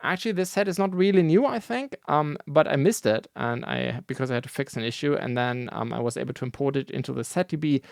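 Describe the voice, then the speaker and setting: A non-native English-speaking man hosts a laid-back news roundup, wandering through his points with frequent ums and restarts. His mid-pitched voice has a gravelly edge. The sound is dry and close, with no room around it. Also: quick pace, hardly any pauses, no echo. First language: not English. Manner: relaxed, rambling, many fillers and self-corrections. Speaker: host of a brick building news show.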